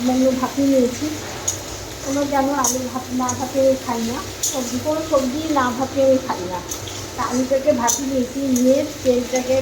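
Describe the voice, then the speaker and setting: A woman vlogging casually at home, talking to viewers, repeating her phrases and asking them questions. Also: high voice. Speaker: home cooking and eating vlogger. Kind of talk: casual chatty vlog talk to viewers. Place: indoors at home. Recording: echoey room with clear background noise.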